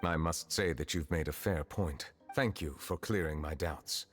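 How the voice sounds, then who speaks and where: Deep-voiced, solemn ancient judge. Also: male voice. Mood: humble, formal. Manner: formal, measured, grave diction. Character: solemn, centuries-old male Chief Justice, stately and reserved. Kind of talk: video game voice acting. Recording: game dialogue with music behind.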